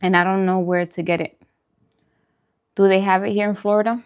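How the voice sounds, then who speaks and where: A woman's voice: mid-range voice, level tone, a little fast, clear, resonant tone. A woman chatting casually and in a friendly way as she hosts a food livestream, answering viewers' comments.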